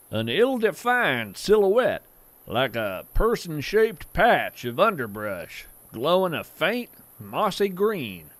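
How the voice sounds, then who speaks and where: A man's voice: fairly high pitch, fairly bright tone, no echo, full character voice. A man playing a folksy, awestruck naturalist in a fiction podcast, musing poetically by a campfire as he tells his story. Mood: storytelling. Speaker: podcast host. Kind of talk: scripted audio fiction monologue.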